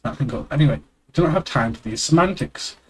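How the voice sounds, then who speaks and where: A man's voice: on the high side, lively intonation, fast, breathy edge. A congested man streaming casually, reading a webcomic aloud and chatting in a rambling, self-deprecating way with casual swearing, on an external desk mic. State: congested, stuffy nose.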